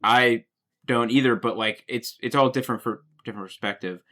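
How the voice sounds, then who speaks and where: A man's voice: mid-range voice, fairly quick, a bit bright. A man on a casual podcast, explaining things with many fillers. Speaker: podcast guest. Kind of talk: casual chat.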